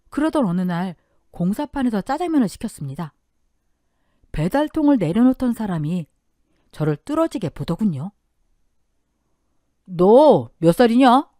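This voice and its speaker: A woman narrating a first-person story in a dramatic, expressive radio-story style. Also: mid-range voice, dry recording, clean studio sound.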